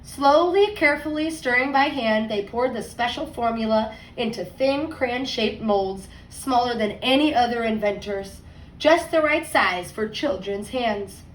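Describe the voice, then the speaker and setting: A woman teacher reading a picture book aloud to young children, expressively and deliberately, stressing words and adding conversational asides. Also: fairly high pitch. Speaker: second grade teacher. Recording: roomy echo, distant mic, faint noise.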